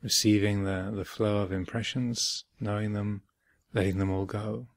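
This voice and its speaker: A man giving guided meditation instruction as a teacher, speaking in measured short phrases with long pauses between them. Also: lowish voice, little pitch movement, no room sound, clean studio sound. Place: retreat center, close dry recording.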